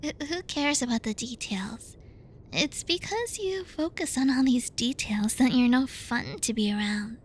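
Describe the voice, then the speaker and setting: A woman playing a bratty, flustered tsundere student in an ASMR roleplay, speaking softly and breathily close to the mic in a pouty, halting, defensive way. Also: fairly high pitch, slightly rough. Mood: bossy, teasing. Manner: halting, pouty, defensive, stumbles over words. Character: bratty tsundere young female student, flustered, teasing and bossy. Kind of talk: ASMR roleplay addressed to the listener.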